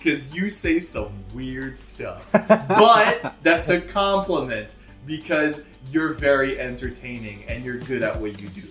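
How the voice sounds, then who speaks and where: A loud, animated man telling a story in a casual group chat. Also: mid-range voice, slow, roomy sound, music in the background. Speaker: streamer.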